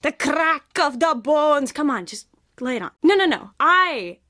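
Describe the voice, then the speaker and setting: High-pitched, bossy stage director. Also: female voice, very animated, a little slow, bright, forceful tone, full character voice. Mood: exasperated, insistent. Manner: insistent, exasperated, interrupting. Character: bossy, defensive woman playwright directing a myth play rehearsal. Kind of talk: video game acting, rehearsal banter and theatrical lines.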